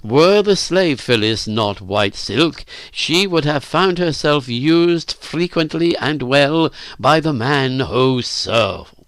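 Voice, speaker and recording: Audiobook role: stern male master, authoritative and formal, medium pitch, dry sound read by a man. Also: animated, measured pace, a bit bright, smooth, no room sound. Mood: irritated, pointed. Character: stern, commanding male master, calm and aloof.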